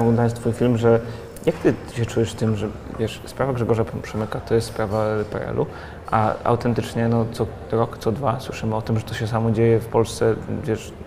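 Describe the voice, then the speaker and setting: A man in his thirties hosting an interview on a studio set, asking eager, quick questions and often murmuring agreement. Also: medium pitch, noisy background. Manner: quick, eager, lots of back-channel "mhm". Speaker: interviewer, host. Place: studio set in a large glass-walled building.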